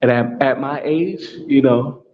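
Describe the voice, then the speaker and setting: A man's voice: mid-range voice, unhurried. Casting: American man, solo talk host, emphatic and repetitive, mid-range voice, slightly dull lo-fi audio with faint background hum. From United States. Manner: repetitive, emphatic, restating points. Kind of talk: solo monologue.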